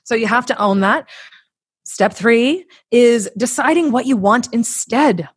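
A woman's voice: a little high, a little slow, breathy edge, dry recording. Emphatic woman giving motivational advice, close dry sound. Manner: emphatic, blunt, casually sweary. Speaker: podcast guest, author and coach. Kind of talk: conversational motivational advice with acted-out examples.

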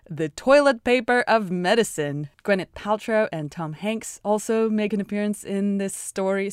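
A woman's voice: on the low side, no room sound, studio quality. A woman hosting an interview podcast, introducing the episode and asking curious, upbeat follow-up questions. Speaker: podcast host. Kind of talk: conversational interview.

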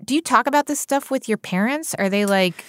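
A woman hosting an interview podcast, asking curious, probing questions in a relaxed conversation. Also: fairly high pitch, fairly quick. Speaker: podcast host.

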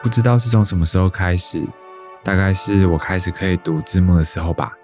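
A man's voice: deep voice, a little fast, a little mellow, faint music behind, lightly acted. An actor playing a wistful man in his early thirties with a Taiwanese accent, narrating reflectively in a radio drama. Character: wistful thirty-two-year-old man dreaming of life abroad.

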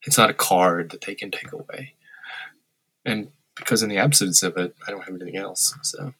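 A man with a low, slightly husky voice talks in a relaxed interview. He is reflective and wry, and turns blunt now and then. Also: moderate pace, rough recording. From Korea. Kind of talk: candid conversational interview. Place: lives in Tokyo.

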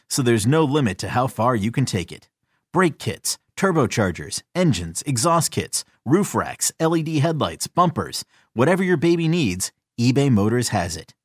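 Man, scripted ad read, lively swooping intonation, punchy and playful, dry close sound. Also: mid-range voice, dry recording. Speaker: commercial announcer.

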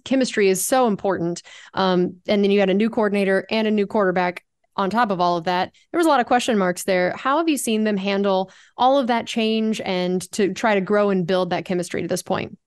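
A young woman hosting a radio sports show, leading segments and asking questions in an upbeat, steady way. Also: medium pitch, clear, resonant tone, no echo, clean studio sound. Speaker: team reporter and show host.

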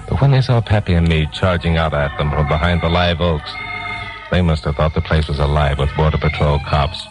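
A man's voice: rumbling low, darkish tone, poor audio. An actor with an American accent playing a tough, wisecracking newsman detective who narrates his case in a 1950s radio drama. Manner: hard-boiled, teasing. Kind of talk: 1950s radio acting, first-person narration. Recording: 1950s radio recording with music.

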